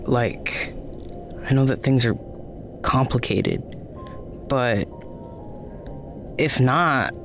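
A man acting in an audio drama as a blunt, supportive friend, speaking in casual, halting, gentle dialogue. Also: medium pitch, soft background music. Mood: reassuring. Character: supportive, blunt male friend offering comfort.

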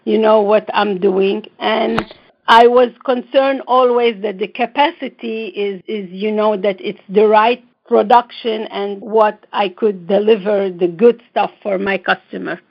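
A woman from the Middle East talks from home over a remote call, her English non-native and chatty, with frequent light laughter. The audio is slightly muffled and lo-fi, and her pitch sits in a middle range. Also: steady tone, measured pace, creaky. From Middle East, born in Lebanon, raised partly in Israel. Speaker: fashion designer and brand owner, guest. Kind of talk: casual interview chat.

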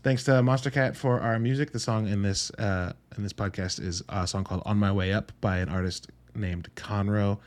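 A man's voice: lowish voice, steady tone, moderate pace, hardly any pauses. A man hosting a solo podcast in a casual, enthusiastic and emphatic way, with informal language and wry asides. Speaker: podcast host reviewing films.

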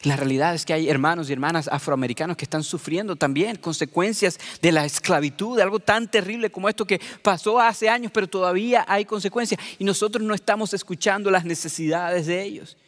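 A middle-aged preacher born in Venezuela speaks with a somewhat raised, light voice, warm in his earnestness toward his listeners. His words carry a long reverb, as if spoken in a large hall. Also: sits high, brisk, hardly any pauses. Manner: earnest, addresses listeners as beloved. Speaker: pastor. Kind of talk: sermon.

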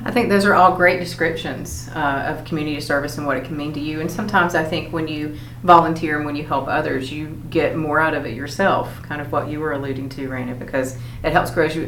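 A woman's voice: deep voice, fairly even intonation, fairly quick, a bit bright. A woman teacher hosting a school podcast, moderating a panel of students in an encouraging way. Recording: echoey room, faint hum.

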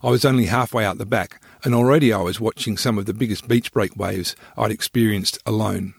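A man narrating his own scripted travel memoir in a measured, descriptive storytelling manner. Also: fairly low pitch, fairly even intonation, gravelly, husky, dry recording, studio quality.

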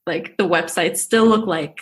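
A woman's voice: lowish voice, measured pace. A young woman from Egypt, a guest chatting casually in an interview, talking with many fillers and restarts. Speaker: guest, YouTuber.

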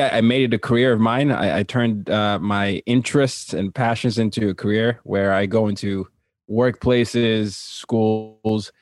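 A man from Nigeria, raised across several countries, speaking as an interview guest in casual, personal storytelling with an animated manner. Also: on the low side, no echo, studio quality. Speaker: interview guest, cultural translator and consultant.

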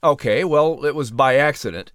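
A man narrating a children's story as announcer, with expressive, scripted storytelling delivery. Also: mid-range voice, normal speed, clear, resonant tone, no room sound, clean studio sound.

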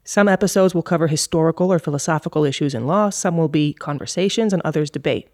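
A woman's voice: low-pitched, fast talker, darkish tone, no room sound, clean studio sound. A woman hosting a podcast, with a polished, articulate delivery. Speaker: podcast host. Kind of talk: scripted podcast intro and moderating.

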